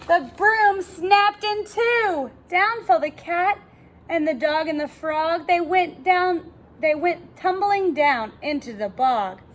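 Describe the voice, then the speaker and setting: A woman reading a children's story aloud expressively, pausing to ask her young listeners questions like a teacher. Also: high voice, a bit bright, slight background noise. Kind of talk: animated children's read-aloud.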